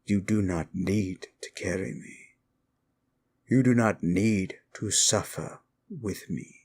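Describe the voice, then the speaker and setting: A man's voice: low-pitched, slow pace, creaky, a little mellow, husky, full character voice. A man playing a sly, evil demon who taunts and manipulates in an intimate ASMR roleplay, teasing and condescending. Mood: sly, brooding.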